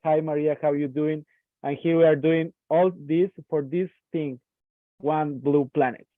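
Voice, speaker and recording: A man from Ecuador with an Ecuadorian Spanish accent, a native Spanish speaker moderating an online training. He introduces the next speaker, halting now and then as he searches for words. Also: on the high side, fairly even intonation, dry recording. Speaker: session moderator. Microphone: video call. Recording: dry, tinny phone-like line.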